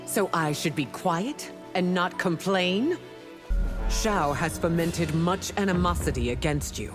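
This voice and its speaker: Game role: regal warrior empress, proud, guarded and stern, lowish voice with expressive swings. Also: female voice, unhurried, music in the background. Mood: wary, reproachful. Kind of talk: video game fighter dialogue.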